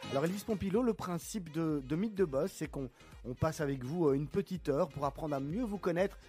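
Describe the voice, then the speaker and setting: A man's voice: a little high, brisk, a little mellow, faint music behind. A man hosting a radio interview, with many restarts and fillers. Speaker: radio host.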